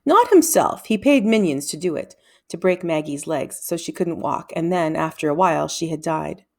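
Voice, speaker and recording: A woman voicing a quick-witted, guarded young thief boy in a children's storybook, answering tersely and plainly. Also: low-pitched, expressive, vocal fry, a little mellow, exaggerated voice. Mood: subdued. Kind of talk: children's storybook read-aloud.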